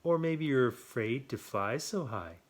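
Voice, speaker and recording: A man plays a tricky buzzard from a children's storybook. The bird is smooth-talking and coaxing, a sweetly persuasive schemer with a pushy streak. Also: medium pitch, expressive, measured pace. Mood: coaxing, taunting. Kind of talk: children's storybook read-aloud.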